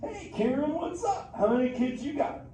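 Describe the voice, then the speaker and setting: An exuberant surfer dude turtle, pitched high and swooping all over. He banters goofily in drawling slang at a live theme park show. The sound is echoey and picked up from a distance. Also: lively intonation, normal speed, dark tone, slight background noise. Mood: teasing, amused. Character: laid-back surfer dude sea turtle, exuberant adult male.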